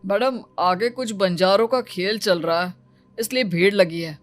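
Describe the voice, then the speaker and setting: A woman's voice: mid-range voice, a little slow, faint music behind, lightly acted. A woman voicing a polite male car driver in a cartoon story, speaking in a reassuring way.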